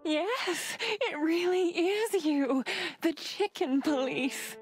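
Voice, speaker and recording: A woman voicing a starstruck, gushing animal receptionist in a noir video game, excitable and breathless in her dramatic delivery. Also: extremely high pitch, slow, full character voice. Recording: faint music behind the voice.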